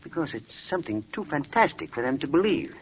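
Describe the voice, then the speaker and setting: An actor playing a worried, earnest hospital doctor in 1940s radio drama, speaking with dramatic urgency. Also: male voice, on the high side, big pitch swings, brisk. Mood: grave, secretive. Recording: muffled, faintly noisy old radio recording.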